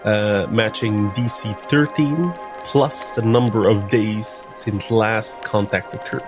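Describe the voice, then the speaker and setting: A man's voice: fairly low pitch, slow pace. A man playing a tabletop roleplaying game, speaking as his character and describing its actions. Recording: music behind the voice.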